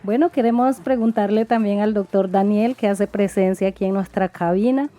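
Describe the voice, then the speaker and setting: A woman hosting a radio interview, a native Murui speaker who asks formal, measured questions. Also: medium pitch, mellow. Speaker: radio host.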